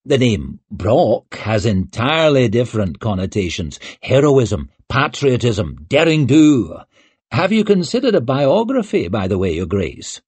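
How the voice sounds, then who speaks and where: A man voicing a vain, flattering male writer who speaks in flowery, grandiose phrases. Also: medium pitch, a little slow, breathy edge, dry recording. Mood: pompous.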